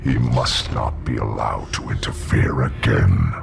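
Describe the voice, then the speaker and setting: An actor playing a menacing, contemptuous robot overlord in a game cutscene, gravelly and grandiose. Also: male voice, rumbling low, exaggerated voice. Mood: cold menace. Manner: slow, grandiose, threatening. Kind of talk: video game cutscene acting. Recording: music clearly behind.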